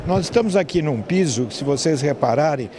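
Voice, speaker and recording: A Brazilian man in his fifties conducts a TV interview with a handheld microphone. His voice is a little high for a man. There is clear noise from an exhibition hall around him. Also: lively intonation, brisk. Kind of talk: on-location trade show interview. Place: open stand in a large indoor exhibition hall. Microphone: handheld reporter microphone. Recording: noisy exhibition hall ambience.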